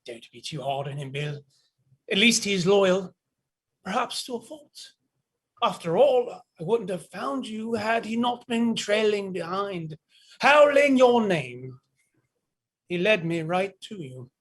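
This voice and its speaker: Man acting a high-voiced, coldly menacing captain. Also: lively intonation, fairly bright tone, slightly rough, full character voice. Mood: mocking. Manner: pompous, deliberate, mock-polite. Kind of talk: dramatized stage play reading.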